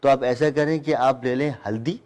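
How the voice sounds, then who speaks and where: A man appearing as a studio guest, explaining herbal remedies in a measured, instructive way. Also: mid-range voice, steady tone, darkish tone. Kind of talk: giving health advice.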